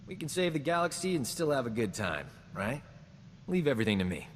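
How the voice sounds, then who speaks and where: A man's voice: mid-range voice, fairly quick, lightly acted. Guy voicing a cocky wisecracking medic, game dialogue, long echo, faint hiss. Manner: smooth-talking, self-flattering, joking. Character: cocky boastful man, a frontier combat medic. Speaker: companion character in a video game. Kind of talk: video game character dialogue.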